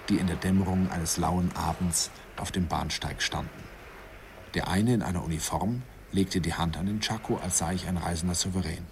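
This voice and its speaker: A man's voice: deep voice, slight background noise. A man playing a nostalgic narrator recalling a youthful romance in a radio play, wistful, intimate and amused.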